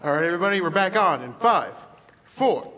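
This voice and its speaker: Young man as bright, forceful radio studio director. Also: sits high, lively intonation, fairly quick. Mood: brisk, commanding. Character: brisk radio studio director counting down to air. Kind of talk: old-time radio drama acting. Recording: echoey hall stage.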